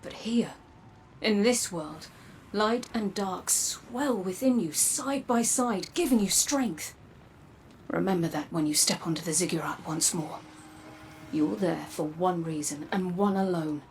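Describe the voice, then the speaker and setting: A woman playing a grave, cryptic seer in a video game, hushed and deliberate. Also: on the low side, a little hiss or hum. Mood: grave, foreboding. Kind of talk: dramatic video game narration.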